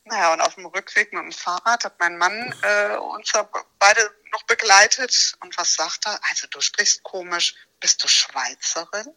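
Bright-voiced woman telling casual anecdotes. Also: medium pitch, moderate pace, few pauses, rough recording. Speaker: podcast guest.

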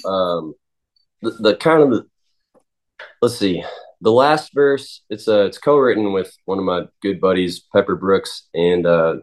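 A man of twenty, a singer-songwriter, answering interview questions in a halting way with many pauses and restarts. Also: low voice. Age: 20s.

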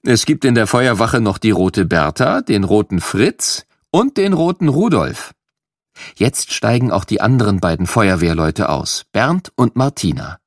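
A man reading a scripted children's story, animated and clearly enunciated for young listeners. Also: lowish voice, measured pace, no echo, clean studio sound.

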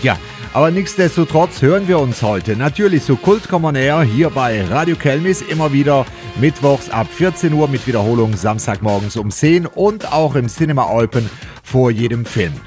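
A man's voice: mid-range voice, expressive, moderate pace, darkish tone, faint music behind. A man hosting a radio show in a chatty way, his nose blocked from a cold. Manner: chatty, friendly announcing. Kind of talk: casual radio moderation.

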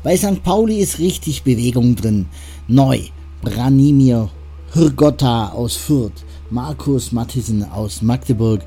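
A man presenting a radio show, chatting loosely and poking fun at himself. His voice is very dark and mellow, at a middle pitch. Faint music plays behind him. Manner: chatty, self-deprecating, rambling asides. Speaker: radio host. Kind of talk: casual radio moderation.

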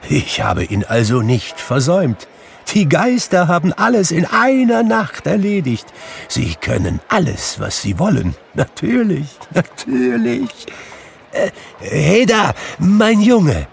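A man's voice: on the low side, very animated, takes it slowly, vocal fry, slight background noise, full character voice. A man voicing an overjoyed, reformed elderly miser in an audiobook, exclamatory and generous. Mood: giddy relief. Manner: exclamatory, exuberant. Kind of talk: dramatic audiobook character voice.

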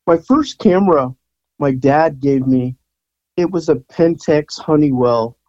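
A man's voice: on the high side, unhurried, a little mellow, dry recording, highs cut off. A man in his sixties, a rock photographer from the Bay Area, chatting casually as an interview guest and rambling with fillers and restarts. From San Francisco Bay Area. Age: 60s.

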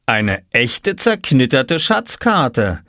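A playful storybook father, voiced by a male reader, jovial and sure of himself. He speaks with mock-serious wonder in a mid-pitched, very lively voice. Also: a little slow, dry recording, clean studio sound. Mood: amazed. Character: playful, amazed father delighted by pretend treasure.

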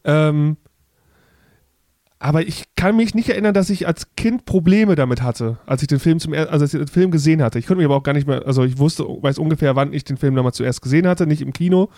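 A man's voice: fairly high pitch, fast, warm tone, no echo, clean studio sound. A man in his thirties hosting a podcast in casual chat, talking freely with many fillers and restarts. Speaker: podcast host.

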